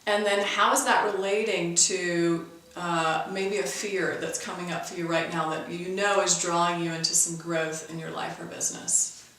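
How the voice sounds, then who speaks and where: A woman's voice: on the low side, steady tone, normal speed, fairly bright tone. A woman interviewing guests in a casual chat, encouraging and agreeing often. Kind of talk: casual conversation. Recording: echoey room, distant mic.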